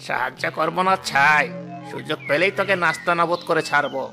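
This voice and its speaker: Man voicing a bright-voiced sly village schemer. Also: fairly high pitch, a little fast, vocal fry, faint music behind, full character voice. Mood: gloating, scheming. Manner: falsely reassuring, gloating. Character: scheming, two-faced village farmer man, greedy and sly. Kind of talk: animated moral story cartoon dubbing.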